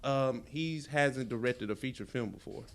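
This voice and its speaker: A man's voice: mid-range voice, normal speed. A man co-hosting a casual chat podcast, opinionated and slangy, swearing freely and repeating his points for emphasis. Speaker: podcast co-host. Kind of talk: casual chat about superhero films and shows.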